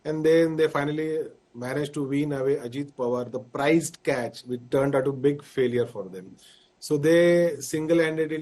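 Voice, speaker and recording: A man's voice: mid-range voice, a little mellow. A man with an Indian English accent, a party spokesperson on a debate panel, combative and repeating points for effect. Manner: combative, rhetorical repetition.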